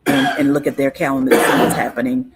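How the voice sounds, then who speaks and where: A woman, a guest in a casual interview, explaining things in an unhurried, informative way with frequent fillers and restarts. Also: extremely low pitch, flat delivery, very noisy. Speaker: guest on cultural topics.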